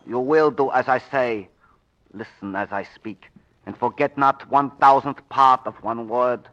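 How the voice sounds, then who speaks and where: A man playing a menacing older Chinese villain in a 1940s radio drama, speaking in slow, formal pronouncements. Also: medium pitch, a bit bright, close to an everyday voice. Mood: cold, commanding. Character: sinister, commanding older Chinese uncle, cult villain. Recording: muffled 1940s radio recording.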